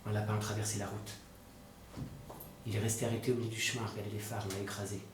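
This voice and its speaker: A man's voice: very high-pitched, rapid-fire. An actor playing an anxious, short-tempered young man, blunt and insistent, in naturalistic drama. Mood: shaken, hushed. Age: young adult. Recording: echoey, noisy room, distant mic.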